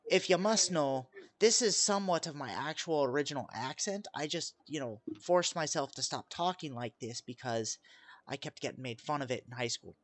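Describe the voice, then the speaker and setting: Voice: mid-range voice, animated, normal speed, dry recording. A game master with an eastern South Dakota accent, running a tabletop game and often restarting sentences.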